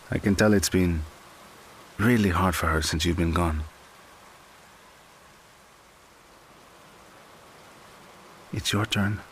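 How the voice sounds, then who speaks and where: A man acting a gentle, patient friend in an audio drama, consoling in a measured, coaxing way. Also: low voice. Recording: clear background hiss.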